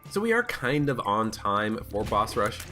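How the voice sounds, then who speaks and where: A man with a stuffed-up, hoarse voice, chatting casually as he plays a video game. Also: lowish voice, very animated, measured pace. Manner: chatty, thinking aloud while playing. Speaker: gaming channel host. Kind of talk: casual let's play commentary. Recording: background game music.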